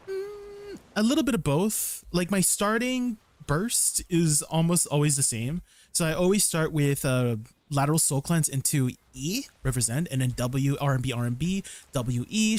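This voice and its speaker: Higher-pitched man streaming a game. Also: dry recording. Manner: explanatory, tags sentences with "right?"; chatty, answers viewers' questions. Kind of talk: casual livestream commentary while playing.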